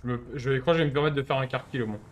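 A man's voice: medium pitch, steady tone, rapid-fire, a little hiss or hum. A young man streaming a role-play game on a headset, swearing and mocking other players in casual commentary.

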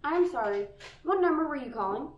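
A young woman playing a calm, polite telephone operator in a school radio play. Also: high voice, fairly quick. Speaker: student actor. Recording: dull, muffled recording.

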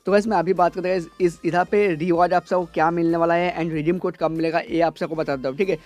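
A hoarse young man, a gaming YouTuber talking casually and rambling, filling every pause with "guys". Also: fairly high pitch, steady tone, a little mellow, soft background music. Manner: repeats "guys" constantly, rambling filler-heavy delivery. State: hoarse from a cold. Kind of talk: casual screen-recorded gaming update.

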